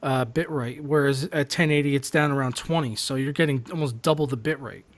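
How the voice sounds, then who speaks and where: A man hosting a casual tech chat, talking fast and excitably, swearing and repeating himself. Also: medium pitch. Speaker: host.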